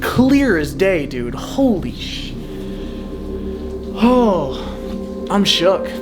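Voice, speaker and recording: Young male ghost-hunting host, excitable and rambling, music bed underneath. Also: high-pitched, very slow. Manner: excitable, rambling, swears, repeats himself. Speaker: paranormal channel host. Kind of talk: casual excited chat.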